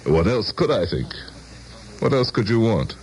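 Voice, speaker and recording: A man's voice: fairly low pitch, very animated, measured pace, slight background noise. An actor playing a courtly, self-assured middle-aged politician in a radio drama, courteous and rhetorical in his speech. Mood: magnanimous, assured. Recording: old radio drama broadcast, music behind.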